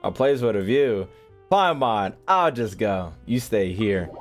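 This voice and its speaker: Male gaming YouTuber; joking, slangy commentary, slow-paced yet very expressive, soft game music behind. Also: fairly low pitch. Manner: slangy, irreverent, playful. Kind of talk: casual, joking playthrough commentary.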